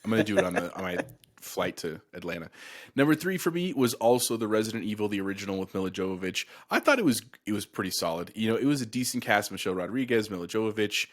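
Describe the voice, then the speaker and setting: Middle-aged man, lower register, enthusiastic, casual podcast co-host. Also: expressive, fast.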